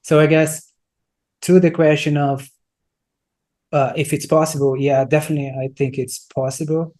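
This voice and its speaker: Mellow-voiced man, searching for words. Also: on the high side, level tone, moderate pace. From Brazil. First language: Brazilian Portuguese. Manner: searching for words, many restarts, thoughtful. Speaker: guest, software entrepreneur. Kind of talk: casual interview answers.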